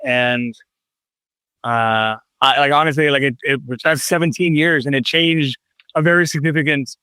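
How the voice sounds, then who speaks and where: A man's voice: fairly high pitch, fairly even intonation, moderate pace, sharp tone, clear, resonant tone, dry recording. A man speaking reflectively and with emphasis in an interview. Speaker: member of the house's community, interviewee.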